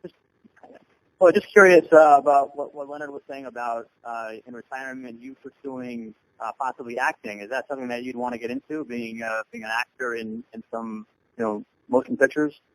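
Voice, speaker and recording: A man's voice: mid-range voice, brisk, a bit bright, rough recording. A man working as a reporter, asking questions on a press call in a hesitant, stop-start way. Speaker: newspaper reporter. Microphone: conference call line.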